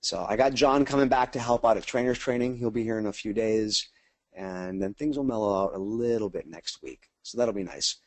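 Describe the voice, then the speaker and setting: A man's voice: fairly low pitch, fairly quick, no room sound. An American man leading a casual webinar as a sales trainer, chatting informally and speaking straight to his attendees.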